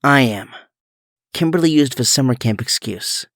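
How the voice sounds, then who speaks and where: Man acting awkward young hero, swooping pitch. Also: animated, a bit bright, no echo, studio quality. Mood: subdued. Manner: hesitant, slow, awkward. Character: awkward, earnest, brainy young male superhero ranger. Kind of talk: audio drama acting.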